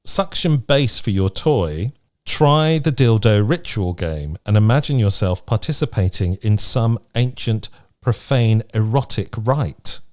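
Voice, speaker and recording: A British man with a low voice that swings freely up and down. He co-hosts a casual podcast and talks frankly and playfully, moving between chat and reading aloud. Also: animated, measured pace, a little mellow. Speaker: podcast co-host.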